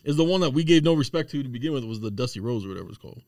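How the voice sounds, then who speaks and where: A man's voice: mid-range voice, lively intonation, very fast, a little mellow, no room sound, studio quality. A man in casual podcast banter, bluntly heckling and contradicting the others. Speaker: podcast panelist. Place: podcast studio.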